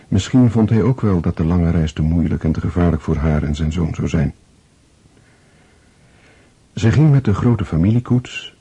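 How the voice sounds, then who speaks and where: A man narrating a radio play as a calm, sober storyteller, reading in a measured way. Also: low-pitched, slightly rough, heavily acted.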